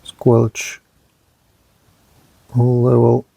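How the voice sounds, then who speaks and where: Man, product reviewer, hands-on test narration, creaky mellow voice, clipped phrases, very dry sound. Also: mid-range voice, monotone, extremely slow, dry recording. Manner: speaks in short clipped phrases.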